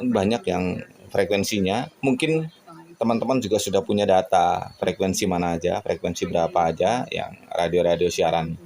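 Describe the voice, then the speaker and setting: Man from Indonesia, fairly low pitch, heavy vocal fry, casual hobbyist monologue, earnest, halting delivery. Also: darkish tone. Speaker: radio hobbyist vlogger. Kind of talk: casual reflective monologue to viewers.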